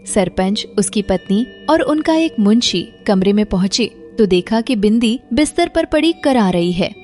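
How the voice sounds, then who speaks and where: A woman's voice: medium pitch, animated, unhurried, a little mellow, soft background music. A woman narrating a scripted moral story as the storyteller.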